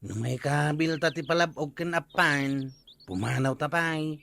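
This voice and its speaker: A man's voice: on the high side, a little slow, gravelly, a bit bright. A man playing an eager, scheming village man with an Ilocano accent in a radio comedy drama. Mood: planning.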